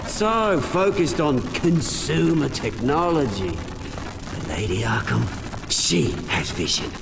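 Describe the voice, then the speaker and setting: A man's voice: mid-range voice, sing-song, takes it slowly, creaky, background noise, heavily acted. A man playing a cocky, foul-mouthed British crime boss villain in a video game, with a taunting, sneering, theatrical delivery. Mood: smug, taunting.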